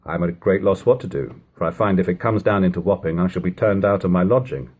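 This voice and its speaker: A man's voice: deep voice, fast talker, darkish tone, slightly rough, pitched below the performer's own voice. A man voicing an anxious working sailmaker, a former sailor, in storybook dialogue, subdued, hesitant and plain-spoken. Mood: worried.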